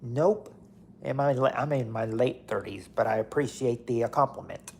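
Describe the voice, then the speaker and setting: A man's voice: mid-range voice, fairly even intonation, moderate pace, creaky, a little mellow, slight background noise. A man in his late thirties chatting casually on a livestream, rambling and repeating himself with lots of fillers. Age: 30s. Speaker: livestream host. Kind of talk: casual chat reading viewer comments.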